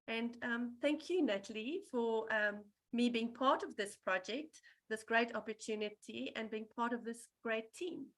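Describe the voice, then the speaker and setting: A woman's voice: a little high, measured pace, hardly any pauses. A woman reading her prepared remarks at a live book launch, chatty and scattered, with many ums. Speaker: book designer and translator.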